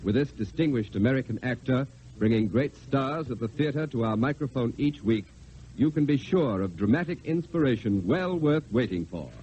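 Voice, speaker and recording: A man's voice: mid-range voice, lively intonation, normal speed, dark tone. A man with a middle-range voice makes formal, polished announcements as host of an old-time radio show. The sound is muffled, with faint hiss behind him. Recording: muffled, hissy vintage radio recording.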